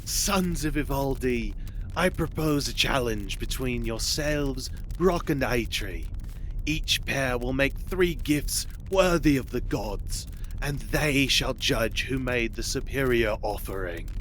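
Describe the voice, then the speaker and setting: A man voicing a scheming Norse trickster god in an audio drama, persuasive, theatrical and flattering. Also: mid-range voice, slow pace, a little hiss or hum.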